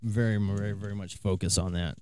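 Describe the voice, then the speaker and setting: A man's voice: on the low side, fairly even intonation, brisk, darkish tone, smooth, no echo, studio quality. A man co-hosting a casual podcast from a small room, chatting easily and laughing often. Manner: laughs often, easygoing banter. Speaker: podcast co-host. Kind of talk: casual chat. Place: hot small room indoors.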